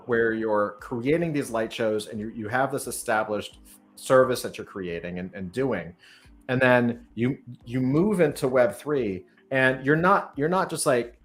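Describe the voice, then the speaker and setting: A man hosting a casual podcast conversation, thinking out loud with frequent restarts and "right?". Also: medium pitch, measured pace.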